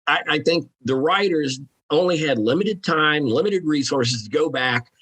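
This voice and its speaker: Husky-voiced man musing on a podcast. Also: on the high side, fairly bright tone. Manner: musing, halting, thinking aloud. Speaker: guest author. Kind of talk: conversational podcast discussion.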